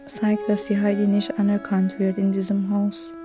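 Even-toned woman describing scenes over music. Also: mid-range voice, normal speed. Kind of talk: descriptive retelling of film scenes.

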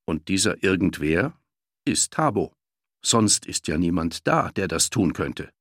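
Male storyteller for children's audiobook: very animated, bright, forceful delivery, dry sound. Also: sits low, a little slow, husky, no echo. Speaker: audiobook narrator. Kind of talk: lively children's audiobook narration.

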